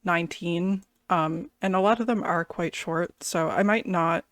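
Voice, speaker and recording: A woman's voice: fairly low pitch, unhurried, dry recording. A woman giving a casual, thoughtful music reaction and review, feeling her way through her impressions with a hesitant delivery.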